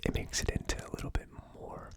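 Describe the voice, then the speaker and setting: A host giving a whispered ASMR tasting commentary in a breathy, unvoiced whisper, musing aloud in a slow, tentative way. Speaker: whiskey tasting video host.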